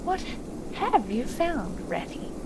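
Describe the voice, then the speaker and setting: A woman's voice: fairly high pitch, big pitch swings, slow, full character voice. A woman voicing a small male mole, plaintive and grumbling, in a storybook reading. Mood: impatient. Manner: plaintive, whining. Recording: clearly noisy, sound effects behind.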